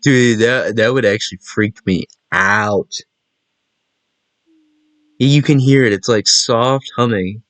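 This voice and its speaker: A man's voice: medium pitch, slow, long pauses, a bit bright, dry recording. A man doing casual let's-play commentary and reading game text aloud, with wry asides. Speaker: gaming channel host.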